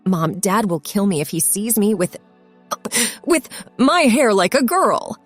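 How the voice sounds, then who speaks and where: A woman's voice: mid-range voice, expressive, measured pace, faint music behind, lightly acted. A woman voicing an embarrassed, evasive young boy in an audiobook story, stammering and defensive. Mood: nervous protest.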